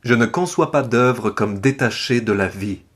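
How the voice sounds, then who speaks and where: A man with a French accent voicing an uncompromising avant-garde poet, reciting a manifesto in a solemn, declamatory, deliberate way. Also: medium pitch, a bit bright, breathy edge. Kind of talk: dramatic literary recitation.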